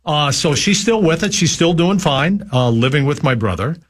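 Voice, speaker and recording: A man's voice: fairly high pitch, animated. Older guy from minnesota rambling folksy stories on the radio, lots of uhs. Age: 70s. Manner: folksy storyteller, halting with many uhs. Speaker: regular guest. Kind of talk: casual radio chat.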